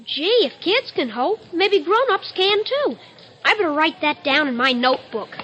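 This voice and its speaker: Very high, innocent little boy. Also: very animated, moderate pace, a bit bright, heavily acted. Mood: hopeful. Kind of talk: old-time radio musical comedy acting. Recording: muffled vintage radio recording.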